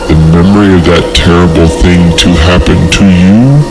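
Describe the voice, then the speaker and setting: A man's voice: deep voice, fairly even intonation, normal speed. A man hosting a podcast, narrating horror stories and adding wry asides. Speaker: podcast host reading creepypasta. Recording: loud music bed behind. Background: music.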